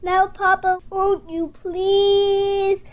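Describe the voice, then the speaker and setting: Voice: very high voice, level tone, drawn-out words, muffled recording, full character voice. A young performer playing a sweet, earnest, eager young girl in a family audio drama, with quaint old-fashioned phrasing. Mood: pleading.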